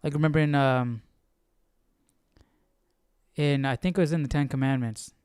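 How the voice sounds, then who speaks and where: A man hosting a casual Bible study, reading aloud and commenting as he questions himself and thinks out loud. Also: a little high, normal speed, clear, resonant tone, dry recording, clean studio sound. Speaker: host of a Bible study stream.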